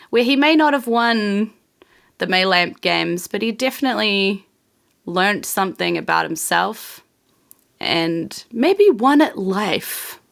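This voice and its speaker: A woman with a New Zealand accent improvising narration as game master of a tabletop game, informal and halting. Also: medium pitch, gravelly, a bit bright.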